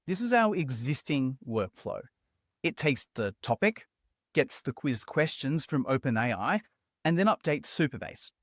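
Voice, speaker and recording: A man narrates a building tutorial in an upbeat, chatty voice-over. His pitch moves around a lot. The sound is dry and close, with no room around it. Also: very animated, a little slow, fairly bright tone, no echo. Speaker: tutorial host.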